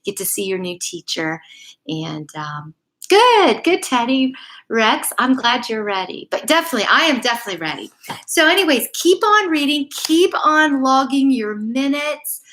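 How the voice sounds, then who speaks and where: A woman's voice: high voice, big pitch swings, moderate pace, a bit bright, poor audio. A middle-aged or older woman chatting casually to young children and reading them a bedtime story, encouraging and speaking to them directly. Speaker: elementary school principal or staff storyteller. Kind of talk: casual live chat and children's bedtime story read-aloud.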